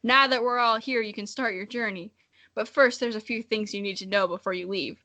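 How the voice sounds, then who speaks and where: A solemn misty animal spirit read by a woman hands out cryptic guidance in a bright, forward voice. The delivery is instructive storybook reading. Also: medium pitch, a little fast, lightly acted.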